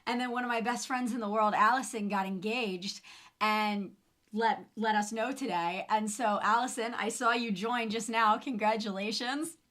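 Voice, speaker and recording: A woman co-hosting a live weekly chat show, hoarse from losing her voice, bubbly and quick to laugh in playful banter. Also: on the high side, steady tone, a bit bright. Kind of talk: casual live-stream chat.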